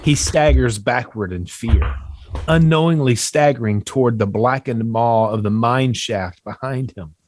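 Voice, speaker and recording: A man's voice: mid-range voice, measured pace, a little mellow, no room sound. A man reading stage directions from a horror screenplay as scripted narration.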